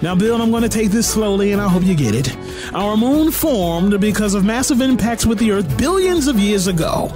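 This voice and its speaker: A man with a high voice presents news-talk commentary on the radio. His delivery is punchy and very animated, full of theatrical emphasis and teasing asides. He is close on a broadcast mic in a studio, with light music behind him. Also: normal speed. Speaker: radio host. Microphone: close broadcast boom mic. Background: music bed.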